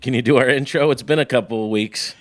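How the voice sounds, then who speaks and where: A man's voice: medium pitch, quick pace. A man co-hosting a casual podcast, congested from a cold, curious and playful, asking many questions. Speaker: podcast co-host. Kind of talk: casual chat.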